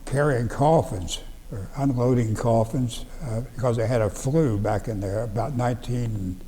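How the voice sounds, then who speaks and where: Elderly man, halting plain recollections. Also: medium pitch, mellow, big-room sound. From Ohio, USA. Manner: halting, plain-spoken, frequent restarts and fillers. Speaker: veteran interviewee. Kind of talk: oral history recollection. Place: library room.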